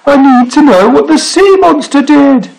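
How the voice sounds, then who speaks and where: Man voicing fussy, high-pitched, excitable furry creature. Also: unhurried, low-quality recording. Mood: pleading, urgent. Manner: plaintive, insistent, calling out.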